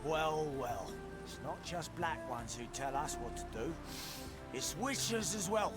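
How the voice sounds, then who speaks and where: A man's voice: on the high side, lively intonation, slow, loud music behind the voice. An actor playing a belligerent, drunken male thug, sneering and defiant, in a video game. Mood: sneering, hostile. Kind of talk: video game villain acting.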